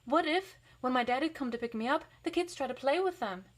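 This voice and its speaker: A woman's voice: high voice, fairly quick, voice pushed up. A woman voicing an anxious, earnest little girl in a picture book story, fretful and emphatic. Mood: worried.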